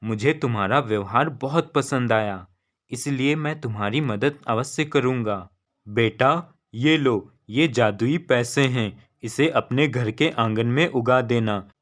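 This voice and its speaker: A man playing a wise, kindly wandering holy man in a cartoon story, benevolent and measured. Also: medium pitch, lightly acted.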